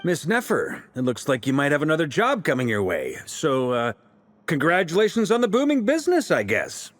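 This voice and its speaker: A man's voice: on the high side, wildly swooping pitch, moderate pace, faint music behind. A man playing an affable, slightly awkward knightly leader in a video game, easygoing and expansive. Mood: genial, formal. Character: affable, casual male knightly leader hosting a gathering.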